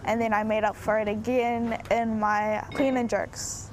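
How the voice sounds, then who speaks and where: A woman's voice: fairly high pitch, flat delivery, a little slow, noisy background. A teenage girl with a New Zealand accent chatting casually in an interview as a young athlete. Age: teens.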